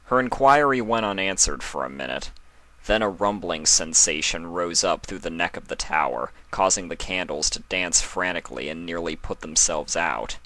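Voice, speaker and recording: A man reading fan fiction aloud as narrator, in an expressive storytelling style. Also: sits low, moderate pace, dry recording.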